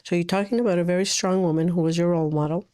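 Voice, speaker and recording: Lower-voiced woman host, affirming. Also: steady tone, racing, warm tone. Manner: affirming, summarizes the guest's points. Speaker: podcast host. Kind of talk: interview host.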